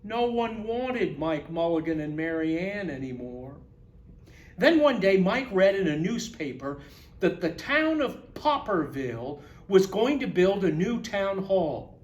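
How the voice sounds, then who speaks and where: Male children's storyteller, high-pitched, expressive and emphatic read-aloud, echoey room. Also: a little slow. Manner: expressive, emphatic, explains words in asides. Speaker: school storyteller reading to children. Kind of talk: animated read-aloud of a children's picture book.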